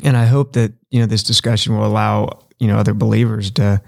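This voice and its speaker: A man's voice: on the low side, fairly even intonation, fast talker, creaky, darkish tone. Earnest man in casual podcast talk. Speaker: podcast co-host. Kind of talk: casual discussion.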